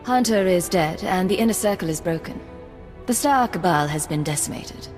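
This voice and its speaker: A self-possessed female spy played by an actress with a low, controlled voice in a long reverb. She is guarded, serious and clipped, a dramatic video game heroine. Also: animated, normal speed, lightly acted. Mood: businesslike. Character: cool, resolute female secret agent, self-possessed and guarded. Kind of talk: scripted dramatic game acting. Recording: reverberant hall, music behind.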